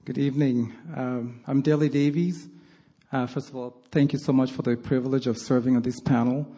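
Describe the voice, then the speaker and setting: A man's voice: mid-range voice, level tone, moderate pace, mellow, breathy edge. A man from Nigeria, raised partly in England, introducing himself on a church panel in a courteous, formal way.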